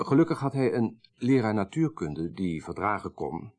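An actor playing a grave, troubled son in a radio drama, speaking in a formal, measured, articulate way. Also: male voice, fairly low pitch, big pitch swings, a little mellow. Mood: measured, serious. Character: grave, troubled son recounting his dead father's story.

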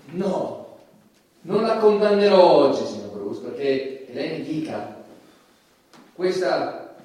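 A man playing a stern, formal judge in a theatrical courtroom drama, speaking with measured emphasis. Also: on the high side, lively intonation, mellow. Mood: solemn. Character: stern, formal male judge presiding over a courtroom trial. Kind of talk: theatrical stage courtroom acting. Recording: echoey stage, far from the mic.

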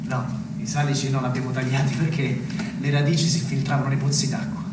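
An actor playing a bitter, stubborn small-town man in a naturalistic stage play, halting and probing, with wry asides. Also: mid-range voice, flat delivery, natural voice. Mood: wistful. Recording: echoey, distant, very noisy live stage recording.

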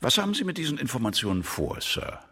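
An actor playing a cool, astute police subordinate in a German radio drama, guarded, deferential and concise. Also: male voice, mid-range voice, huge pitch swings, quick pace, vocal fry, sharp tone, husky, heavily acted. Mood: guarded curiosity.

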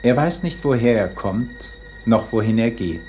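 An actor as a grave, philosophical prologue speaker in a radio play, declaiming in measured phrases. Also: male voice, medium pitch, expressive, darkish tone, faint music behind, lightly acted. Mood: solemn.

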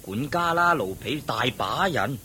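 An actor playing a dutiful, patient grown son in a radio drama, earnest and soothing, with a Hong Kong Cantonese accent. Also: male voice, animated, unhurried, bright, forceful tone. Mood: concerned. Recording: old radio drama with faint rumble.

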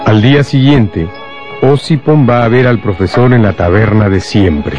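A man's voice: lowish voice, a little slow. A man narrating a literary radio drama from a script. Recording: faint music behind the voice.

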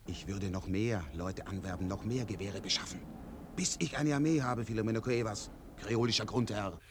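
An actor playing a stern, sceptical army colonel turned deserter, a calculating strategist with a formal, sardonic way of speaking in a German radio play. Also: male voice, on the low side, moderate pace, background noise, close to an everyday voice. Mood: formal, testing.

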